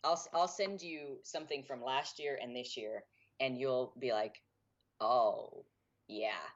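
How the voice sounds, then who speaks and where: A man's voice: mid-range voice, unhurried, dull audio. A young man, a guitarist and guitar teacher, speaking frankly and openly as a guest in a casual interview, with a self-deprecating streak. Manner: frank and open, laughs easily, self-deprecating. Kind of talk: candid casual interview talk.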